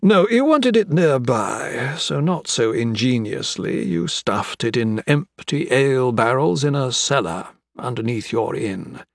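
A man voicing an older gentleman villain in an audiobook, with florid, mock-courteous, taunting speech. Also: medium pitch, animated, unhurried, gravelly, hardly any pauses, no echo, studio quality. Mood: mocking menace. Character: menacing, theatrical old gentleman crime boss, mocking and sentimental. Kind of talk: dramatic audiobook character acting.

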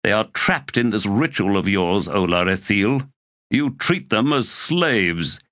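A man's voice: fairly low pitch, animated, a little slow, a bit bright, no echo, studio quality. A man voicing Torrent, a weary and defiant young male warrior, in an audiobook reading.

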